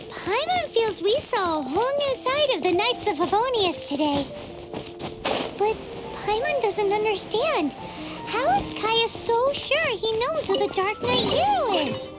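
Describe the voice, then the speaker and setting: Tiny squeaky game fairy girl, super high childish voice, excitable n cheeky, music behind. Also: exaggerated voice. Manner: speaks of self in third person, exclamatory. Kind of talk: video game character acting.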